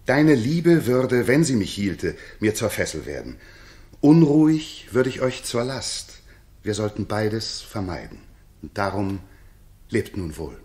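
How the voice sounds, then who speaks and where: A courtly, eloquent king, a courteous guest and friend, in a 1960s German radio play. His lowish voice moves with lively melody through formal verse. The room rings around him. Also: measured pace. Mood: earnest, firm. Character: courteous visiting king of Bohemia, warm old friend. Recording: roomy 1960s radio studio.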